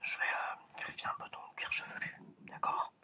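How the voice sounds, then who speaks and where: An ASMR performer speaks almost entirely in whispers, with a bright, hissy edge. The delivery is a hesitant murmur that circles back over short phrases, as in a roleplay examination. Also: normal speed.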